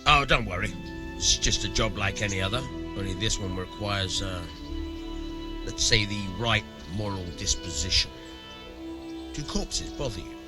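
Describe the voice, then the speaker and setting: An actor playing a shrewd, amoral village miller in a medieval video game, gruff, coarse and slyly persuasive. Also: male voice, on the low side, expressive, normal speed, loud music behind the voice, natural voice. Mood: sly, coaxing. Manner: sly, persuasive, coarse-tongued. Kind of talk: video game dialogue acting.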